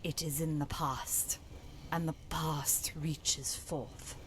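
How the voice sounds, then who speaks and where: Woman voicing a solemn, slow-speaking ghostly sister. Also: low voice, steady tone, noisy background. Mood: cold, accusing. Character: long-dead sister's spirit, solemn, knowing, finally forgiving. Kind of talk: dramatic video game mod voice acting.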